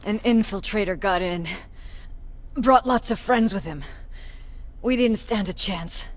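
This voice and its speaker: An actress playing a tough, wounded military commander, blunt and grimly resolute, in a dramatic video game. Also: female voice, mid-range voice, a little slow, lightly acted. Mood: urgent relief.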